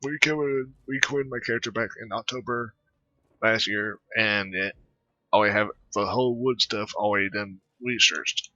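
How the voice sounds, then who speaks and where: Sharp-voiced man doing halting gameplay commentary. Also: lowish voice, no room sound, dull audio. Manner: halting, rambling, muttering asides. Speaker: gaming channel host. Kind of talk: casual let's play commentary, reading dialogue aloud.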